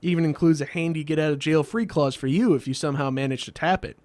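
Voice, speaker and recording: Wry, higher-voiced man narrating, very dry sound. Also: fast talker, darkish tone, dry recording. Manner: wry, sardonic. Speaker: host of a card game video series. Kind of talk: scripted comedic explainer narration.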